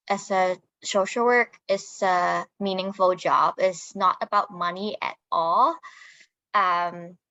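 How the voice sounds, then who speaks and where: A woman's voice: lowish voice, fairly bright tone, dry recording, highs cut off. A young woman, talking casually as a guest speaker on a panel. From Hong Kong. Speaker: guest speaker, social worker.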